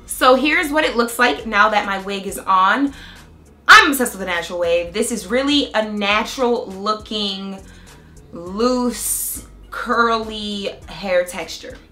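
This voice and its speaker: A young woman vlogging a hair tutorial in a chatty, excited way, walking through each step, her voice a little raspy from a cold. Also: medium pitch, takes it slowly, faint music behind. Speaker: beauty vlogger. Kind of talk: casual hair tutorial and review.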